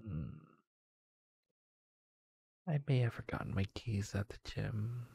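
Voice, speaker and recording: A man acting a shy, polite, flustered neighbor in a roleplay ASMR, hesitant, apologetic and breathy. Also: fairly low pitch, expressive, slow, heavily acted. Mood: sheepish. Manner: hesitant, soft-spoken, apologetic, breathy sighs and whispers.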